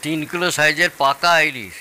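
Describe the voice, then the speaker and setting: An actor playing a proud, excitable host in his sixties in an audio drama, theatrical, boastful and needling. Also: fairly high pitch, moderate pace, a little hiss or hum. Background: rain.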